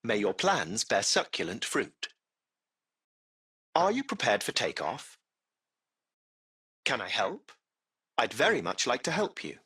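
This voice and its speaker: A man voicing a servile, impeccably polite artificial intelligence taxi driver in a video game, with formal, ornate, measured diction. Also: medium pitch, huge pitch swings, pushed and bright, breathy edge, full character voice. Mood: smooth, formal. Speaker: AI driver and concierge.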